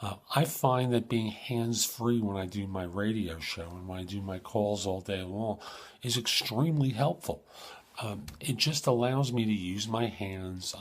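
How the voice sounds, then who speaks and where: A man hosting an advice show in an informal, conversational coaching manner, with a husky, slightly rough voice. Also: lowish voice. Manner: informal, emphatic coaching, acts out sample questions. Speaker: host of a job search advice show.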